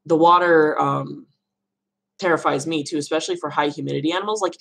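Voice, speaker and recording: Low-voiced, gravelly young woman, chatty. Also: steady tone, fairly quick, a bit bright. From Arizona, USA. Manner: chatty, rambling, laughs often. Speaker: livestream host answering viewer questions. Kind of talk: casual live Q&A chat. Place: home room.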